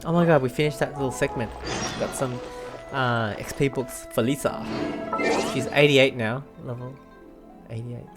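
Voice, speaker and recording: A young man comments casually on a game as he plays. His voice sits at a middling pitch and he thinks aloud in an informal way. Game music is clearly audible behind him. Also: steady tone, normal speed. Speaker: gamer playing and reacting to a story quest. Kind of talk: casual let's play commentary.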